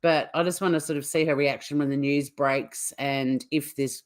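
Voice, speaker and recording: A woman's voice: sits low, steady tone, moderate pace. A woman hosting a casual livestream, chatting to viewers in an informal, teasing way. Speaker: livestream host.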